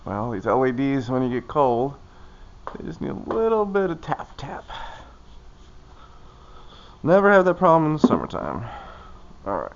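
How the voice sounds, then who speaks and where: A man's voice: medium pitch, huge pitch swings, normal speed, pauses often, muffled recording. A man casually talking himself through a hands-on task as a vlogger, in short, loose, muttered phrases. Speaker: vlogger doing his own car maintenance.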